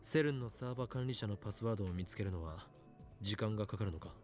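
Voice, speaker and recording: Man acting a rapid-fire pompous young man. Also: on the low side, natural voice. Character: self-important, theatrical young man, visual novel lead. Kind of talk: Japanese visual novel voice acting. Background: game music.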